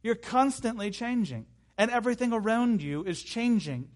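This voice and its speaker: Male preacher, thirties. High-pitched voice, emphatic sermon delivery with repeated phrases and chatty asides. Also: expressive, normal speed. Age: 30s.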